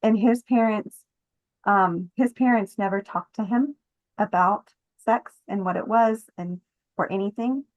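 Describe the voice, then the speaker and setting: A woman's voice: mid-range voice, unhurried. A middle-aged woman giving personal testimony as a podcast guest in conversation. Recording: muffled, slightly echoey room sound.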